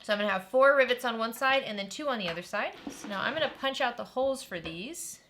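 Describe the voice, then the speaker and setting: A woman's voice: on the high side, animated, quick pace, a bit bright, a little hiss or hum. A woman hosting a sewing tutorial, chatty and upbeat as she explains each step while she works. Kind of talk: casual step-by-step craft tutorial.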